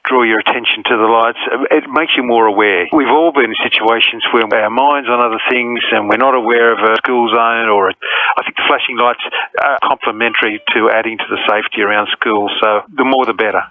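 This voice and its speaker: Australian man, mid-pitched, on phone-like audio. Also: steady tone, a little fast. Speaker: council manager being interviewed. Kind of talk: spontaneous interview answer. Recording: thin phone-like line.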